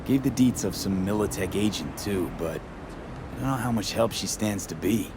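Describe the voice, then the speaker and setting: Actor as a low-voiced, blunt mercenary. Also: male voice, normal speed, very noisy, lightly acted. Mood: matter-of-fact. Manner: clipped, blunt, streetwise. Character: tough, terse young male street mercenary. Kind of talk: video game dialogue. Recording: music playing behind the voice.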